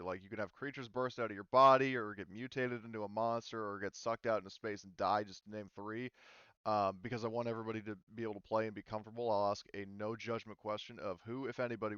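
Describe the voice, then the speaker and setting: Male game master, low register, dry close sound, casual and hesitant explainer. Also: fairly bright tone, clean tone, dry recording, highs cut off. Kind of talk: casual explanatory group discussion before a tabletop game. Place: online voice call.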